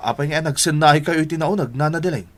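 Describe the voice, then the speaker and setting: A man's voice: fairly high pitch, quick pace, slight background noise, natural voice. A man acting a cheeky, gossipy neighbour in an Ilocano radio drama, with a playful, exclamatory delivery. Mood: teasing, delighted.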